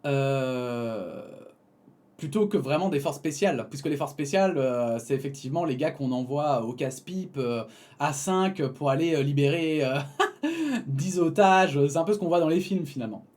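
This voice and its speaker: A man's voice: a little high, animated, moderate pace, a little mellow. A young man reading a prepared text, breaking off for casual asides full of hesitations and small laughs. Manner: reads then digresses with fillers and laughs. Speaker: YouTube commentator.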